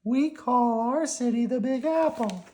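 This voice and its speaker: A woman voicing a small, polite and cheerful male pet worm for a storybook, in a thin, squeaky voice. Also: unhurried, mellow, lightly acted. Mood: welcoming.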